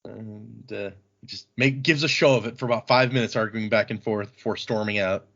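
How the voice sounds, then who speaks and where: A man's voice: on the low side, brisk, gravelly, fairly bright tone, highs cut off. A man hosting a podcast, recapping a book in a casual, informal way. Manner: informal, acts out quoted dialogue briefly. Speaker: podcast host.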